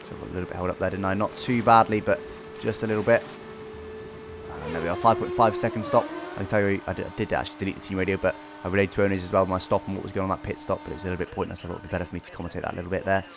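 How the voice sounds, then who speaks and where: A man's voice: low-pitched, fairly even intonation, rapid-fire, gravelly, noisy background. A man giving casual, explanatory commentary over his own racing game race, recapping as he goes.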